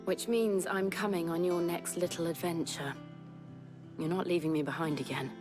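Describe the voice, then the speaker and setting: An actress as a young woman adventurer in a fantasy game. She sounds soft but determined, slightly low in pitch, with music clearly behind her. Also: fairly even intonation, normal speed, lightly acted. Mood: warm, resolute. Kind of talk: video game cutscene acting.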